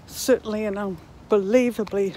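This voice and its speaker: A woman in her sixties giving a reflective, halting monologue to herself and her viewers as she walks outdoors. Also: mid-range voice, fairly even intonation, dark tone, slight background noise. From Netherlands and New Zealand. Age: 60s. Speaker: vlogger. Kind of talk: reflective walking vlog monologue. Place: outdoors, walking in a park.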